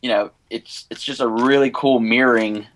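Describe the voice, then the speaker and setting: A man's voice: mid-range voice, steady tone, moderate pace, a bit bright, poor audio. A young American man chatting casually as a podcast co-host, in an opinionated, rambling fan manner. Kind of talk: casual panel chat about films and animation.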